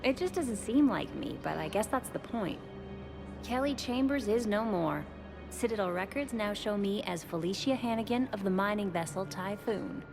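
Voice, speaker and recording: A friendly young woman in a sci-fi game. She is playful and chatty, her medium-pitched voice full of vocal fry, with music clearly behind it. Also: expressive, normal speed. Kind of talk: video game voice acting.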